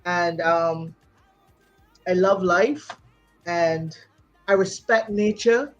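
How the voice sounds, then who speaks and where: A woman from Barbados, a singer and entrepreneur, talking in an animated, casual chat full of "you know". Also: lowish voice, drawn-out words, muffled recording, soft background music.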